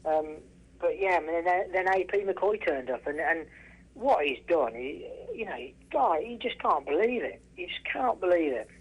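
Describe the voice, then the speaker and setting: English guy on the phone, bit high voiced, chatting casually, keeps restarting and repeating stuff. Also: animated, husky. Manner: halting, restarts often, emphatic repetition. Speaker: racehorse trainer and former jockey, interview guest. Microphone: telephone. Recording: telephone line, radio-style call.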